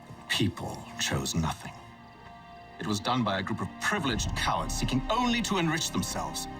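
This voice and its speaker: An actor in a video game playing a haughty older Templar leader, dry, formal and condescending. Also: male voice, mid-range voice, wildly swooping pitch, normal speed, far from the mic, music in the background. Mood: disdainful. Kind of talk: video game cutscene acting.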